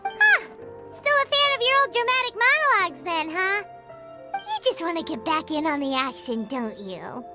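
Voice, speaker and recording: A woman voicing a tiny, cheeky female companion creature in a game cutscene, with a squeaky, childlike voice and a teasing, sassy way of talking. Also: sing-song, normal speed, sharp tone, faint music behind, heavily acted.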